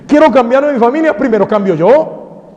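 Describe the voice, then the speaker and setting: High-voiced priest preaching in a hall. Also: male voice, fairly quick. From Colombia. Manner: animated preaching with rhetorical questions. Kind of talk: sermon. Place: parish hall. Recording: dull sound with long hall reverb.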